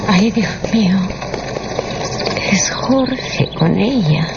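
A genteel, distressed mother of middle age in a radio drama. Her delivery is hushed and pained. Music sits clearly behind her in a slightly dull recording. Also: medium pitch, animated, extremely slow, full character voice. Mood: shocked.

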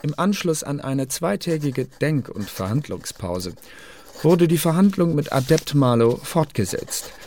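An actor playing an intelligence agent in a radio play, delivering an official report in formal, clipped language. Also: male voice, on the high side, very animated, unhurried, darkish tone. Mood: official, brisk. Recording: faint background noise.

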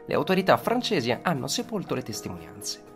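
A man narrating a scripted historical account for radio in a solemn, dramatic manner, with short, deliberate phrases. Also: medium pitch, huge pitch swings. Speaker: storyteller, narrator. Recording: radio narration over musical soundtrack. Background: instrumental soundtrack with guitar.

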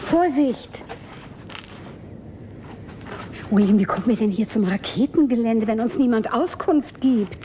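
A woman's voice: a little high, lively intonation, moderate pace, muffled recording, slight background noise, close to an everyday voice. An actress playing an anxious, fussy, spoiled woman of the future in a German radio drama, complaining and exclaiming.

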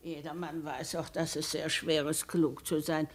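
A low voice for an aged, mild royal servant. The delivery is respectful but candid and gently admonishing, in 1950s radio drama style. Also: female voice, little pitch movement, unhurried. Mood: mild, resigned. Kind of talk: 1950s German radio play acting.

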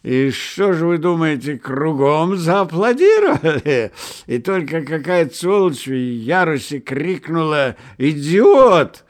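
A man voicing a drunk young officer telling a story loudly and gloomily. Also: a little high, big pitch swings, slow, pitched above the performer's own voice.

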